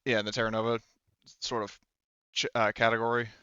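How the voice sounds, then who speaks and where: Clear-voiced man running a game, slightly muffled. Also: mid-range voice, monotone, a little fast, pushed and bright, no echo. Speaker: game master. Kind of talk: casual tabletop game chat. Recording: muffled, slightly lo-fi voice chat mic.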